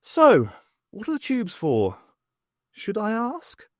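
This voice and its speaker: A man's voice: high-pitched, wildly swooping pitch, takes it slowly, no room sound, clean studio sound, pitched above the performer's own voice, exaggerated voice. A man voicing a wry, friendly woman academic with a teasing manner in an audiobook.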